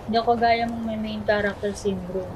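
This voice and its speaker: A woman's voice: mid-range voice, steady tone, brisk, natural voice. A woman playing a young female student in radio drama dialogue, recorded with noisy ambience behind her. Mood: sarcastic. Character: young female student, bickering sharp-tongued classmate.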